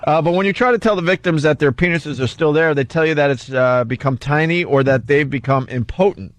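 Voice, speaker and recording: A man's voice: on the high side, fairly quick, no echo. An American man hosting a talk radio show with casual comic banter, close on a studio microphone.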